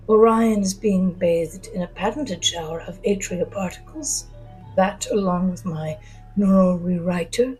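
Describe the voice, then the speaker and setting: A woman voicing a polite, tired alien villain man in an audio drama, scheming coldly in a hushed, breathy, unhurried way. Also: mid-range voice, poor audio. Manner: courtly, unhurried, menacing.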